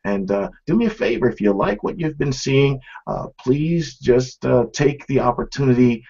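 A man's voice: medium pitch, lively intonation, moderate pace, darkish tone, husky. A middle-aged man giving an informal product demonstration, explaining conversationally and speaking straight to viewers. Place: small office room, indoors. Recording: dull, lo-fi webcam stream sound.

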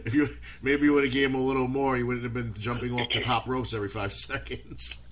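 A man's voice: medium pitch, little pitch movement, fast, fairly bright tone. A man hosting a podcast interview, asking eager questions with frequent stammers. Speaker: podcast host. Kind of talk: casual interview.

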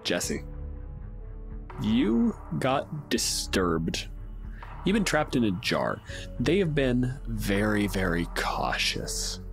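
A man's voice: medium pitch, wildly swooping pitch, takes it slowly, creaky, faint music behind. A man narrating a tabletop game as game master in a conversational, descriptive storytelling way.

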